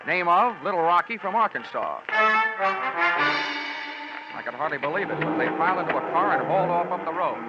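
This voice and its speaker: A man narrating a 1940s radio detective drama in a dry, wry first-person voice. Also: on the high side. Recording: dull old radio recording with music.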